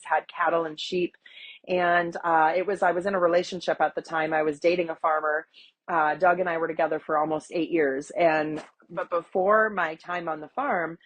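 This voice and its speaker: A woman in her forties from Wisconsin, stuffy from a cold, telling her story in a chatty, animated podcast interview with many fillers. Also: low voice. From Wisconsin, USA. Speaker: guest, agriculture advocate. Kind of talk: conversational interview.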